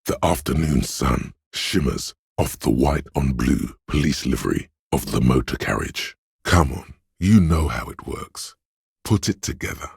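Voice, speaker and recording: An actor playing a measured, quietly intent inner-voice narrator in a video game, hushed and deliberate, savoring each phrase. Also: male voice, a bass voice, animated, no room sound, studio quality, exaggerated voice. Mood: intent, prodding. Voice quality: gravelly, husky. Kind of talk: scripted second-person game narration.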